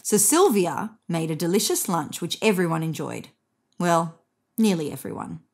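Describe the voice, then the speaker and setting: A woman's voice: lowish voice, animated, moderate pace, noisy background. A woman in her thirties reading a children's story aloud expressively as a narrator. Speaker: storybook reader. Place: indoors on a bed.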